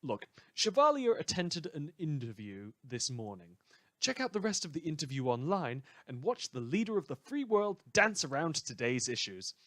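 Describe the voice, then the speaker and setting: A man's voice: a little high, big pitch swings, normal speed, fairly bright tone, no room sound. Man playing a theatrical, swooping, sardonic radio host. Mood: wry. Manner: sarcastic, dramatic, emphatic. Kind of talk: scripted satirical radio news commentary.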